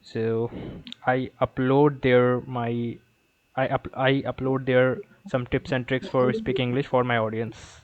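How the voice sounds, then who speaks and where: A man from northern India with a North Indian accent, chatting on an online voice call, soft and hesitant with many fillers. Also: medium pitch, level tone, unhurried, no room sound. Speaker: YouTuber practicing English. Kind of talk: casual chat on a voice call. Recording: tinny phone-like call audio.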